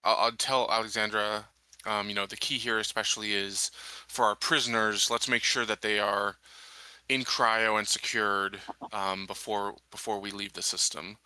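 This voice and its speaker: A man's voice: lowish voice, level tone, normal speed, sharp tone, smooth, no echo, low-quality recording. A man playing a tabletop roleplaying game, talking through plans and questions in casual chat. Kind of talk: casual in-game planning talk.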